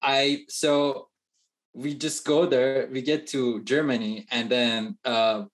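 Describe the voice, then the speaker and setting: A man's voice: mid-range voice, steady tone. Playful man with a Persian accent. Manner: halting, many fillers and restarts, playful. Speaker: touring music producer, guest. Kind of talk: casual interview chat.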